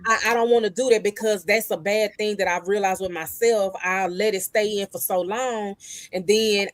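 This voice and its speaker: A woman hosts a casual panel chat and tells stories with animated energy. Her voice sits at a mid pitch. The sound is dry and close, with no room echo. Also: moderate pace. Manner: animated storyteller who acts out quoted voices. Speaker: panel host.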